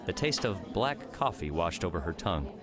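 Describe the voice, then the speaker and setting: A man's voice: low-pitched, sing-song, moderate pace, music in the background. A man reading scripted third-person story narration as an audiobook narrator.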